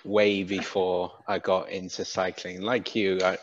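A man's voice: sits low, flat delivery, unhurried, slight background noise. A man from the UK with a British accent, chatting as a podcast guest and hesitantly correcting himself as he goes. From UK, Wales. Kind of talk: casual conversational interview. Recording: slightly dull, lo-fi sound.

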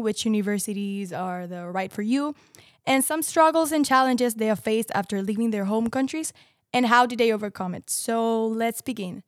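A woman's voice: on the high side, dry recording, studio quality. A woman in her twenties hosting an interview show, introducing guests and asking questions in a friendly, upbeat way. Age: 20s. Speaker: host.

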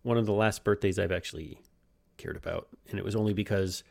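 A man's voice: fairly low pitch, fairly even intonation, quick pace, gravelly. Rambling man in his thirties. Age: 30s. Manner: rambling, self-interrupting, chuckling. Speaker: podcast co-host. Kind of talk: casual chat.

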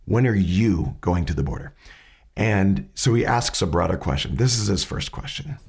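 Raspy-voiced man teaching a lesson. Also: low voice, animated, moderate pace, darkish tone. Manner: methodical, restarts mid-sentence while explaining. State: raspy from hay fever. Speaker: podcast host teaching questioning. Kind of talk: casual explanatory lesson.

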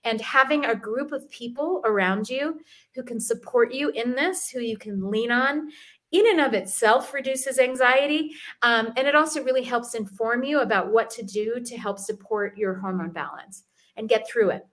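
A woman's voice: on the high side, normal speed, fairly bright tone. A middle-aged woman, a fitness expert, speaking as an interview guest in an earnest, chatty way with frequent ums and restarts. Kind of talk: casual interview talk.

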